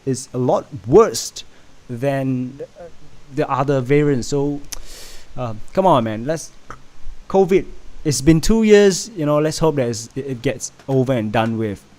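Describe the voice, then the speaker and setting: A man from Singapore, chatting casually on a home livestream and reading out chat, halting and full of fillers. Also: mid-range voice, a little mellow. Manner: rambling, halting, many fillers and restarts. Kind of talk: casual live stream chat.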